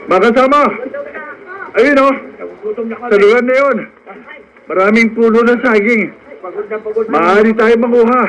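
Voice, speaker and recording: Urgent high-voiced male farmhand. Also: unhurried, long pauses, fairly bright tone, rough recording. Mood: directing. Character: practical male farmhand companion, cautious, urgent. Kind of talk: radio drama acting.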